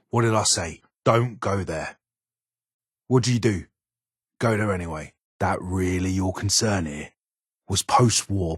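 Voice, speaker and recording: A gruff, world-weary English gunsmith from a video game, with a low voice that is heavily rasped. He talks bluntly and guardedly, grumbling in short, curt phrases. The sound is close and dry. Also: no room sound, full character voice. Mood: irritated. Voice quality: husky, raspy. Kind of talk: video game character dialogue.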